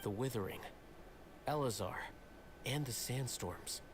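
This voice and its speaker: A man playing a calm, proud scholar and strategist in a video game, speaking in measured, formal, articulate lines. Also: medium pitch, a crowd in the background, close to an everyday voice. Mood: explaining, serious. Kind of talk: scripted video game dialogue. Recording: loud background music under the voice.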